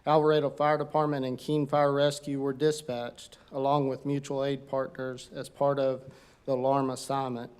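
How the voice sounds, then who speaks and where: A man, a fire captain, reading a formal incident report at a council meeting, steady with some halting fillers. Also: medium pitch, smooth. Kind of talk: formal prepared speech. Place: council chamber.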